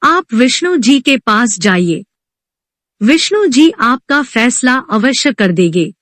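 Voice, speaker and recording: A woman's voice: a little high, big pitch swings, takes it slowly, fairly bright tone, no room sound, studio quality, full character voice. A woman voicing a busy, dismissive male creator god with a formal, polite manner in a devotional storybook tale. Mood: polite refusal.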